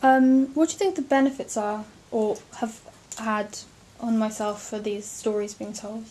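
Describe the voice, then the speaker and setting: Young woman interviewer, mellow voice. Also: on the high side, fairly even intonation, unhurried, poor audio. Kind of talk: casual family interview.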